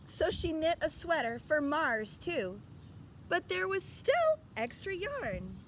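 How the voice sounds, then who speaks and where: A woman's voice: high voice, sing-song, slow, a bit bright, slight background noise. A woman reading a storybook aloud expressively as a teacher, stopping to put instructive questions to young listeners.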